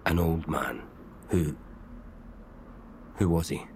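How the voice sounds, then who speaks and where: A man's voice: extremely low pitch, takes it slowly, a little mellow, a little hiss or hum, exaggerated voice. An actor playing a sardonic, bewildered man in an audio drama, questioning everything in a naturalistic way. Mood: skeptical, pressing.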